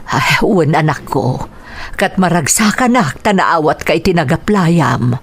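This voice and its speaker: An actress with an Ilocano accent playing a gentle, patient middle-aged mother in a radio drama. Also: low voice, lively intonation, a little slow, slightly rough, heavily acted. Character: gentle, patient, self-sacrificing mother of grown children.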